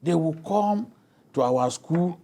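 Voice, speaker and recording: A middle-aged man with a Nigerian accent in an unscripted panel discussion, speaking haltingly and repeating words. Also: on the high side, animated, warm tone.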